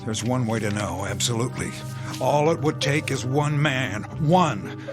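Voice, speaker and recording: A man acts out a conscientious army captain in a radio drama. He is weary yet intense, speaking with brooding authority in a mid-pitched voice. Dramatic music plays clearly beneath him. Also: a little slow, natural voice. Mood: urgent, fervent. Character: weary, conscientious army captain commanding a front-line outpost. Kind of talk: modern radio drama acting.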